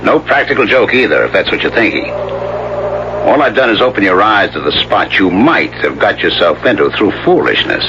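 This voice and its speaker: A man's voice: sits low, very animated, moderate pace. An actor in a 1970s radio drama playing a menacing, teasing male skier with an insinuating, mock-casual manner and pointed questions. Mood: stern warning. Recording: muffled, noisy old radio recording.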